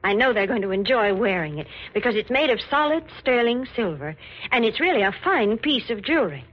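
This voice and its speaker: A woman giving a chatty, enthusiastic 1940s radio sponsor pitch. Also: sing-song, a little fast. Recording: old dull radio recording.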